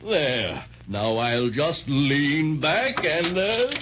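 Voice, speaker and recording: High-voiced, courtly patchwork dragon. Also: male voice, expressive, drawn-out words, a bit bright, heavily acted. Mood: cheerful, obliging. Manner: genteel, fussy, flowery phrasing. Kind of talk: 1930s radio acting. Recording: muffled, faintly noisy 1930s radio recording.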